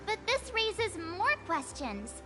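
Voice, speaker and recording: A woman's voice: extremely high pitch, big pitch swings, takes it slowly, heavily acted. A woman voicing an inquisitive, thoughtful young female companion in an anime-style video game, musing and questioning as she speaks. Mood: puzzled, musing. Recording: music behind the voice.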